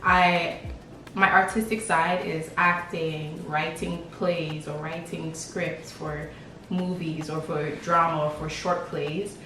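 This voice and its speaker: A woman's voice: low-pitched, flat delivery, slow pace, over music. A young woman from Lagos, chatting casually and playfully as she answers questions about herself for a vlog. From Lagos, Nigeria. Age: young adult. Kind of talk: casual chat. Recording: echoey room, distant mic, background beat.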